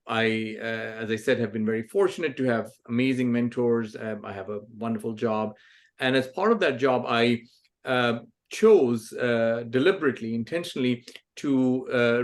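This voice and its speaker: Thoughtful man from Pakistan, 40s. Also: mid-range voice. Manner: thoughtful, many fillers and restarts. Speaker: author and scientist, guest. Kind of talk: conversational interview answers.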